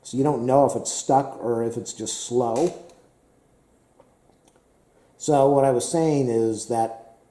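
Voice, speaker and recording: A man talks casually and rambles, repeating himself and filling pauses with "uh." His voice is very dark and mellow and a little hoarse. He sounds like he's in an echoey room. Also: medium pitch, fairly even intonation. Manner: rambling, repetitive, many fillers. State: slightly hoarse. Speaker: tech vlogger.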